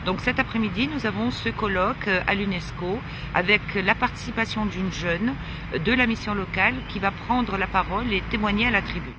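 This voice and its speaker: A man's voice: high-pitched, a little fast. A man explaining an outing in an informal on-location interview as a youth agency staff member, recorded amid outdoor traffic noise.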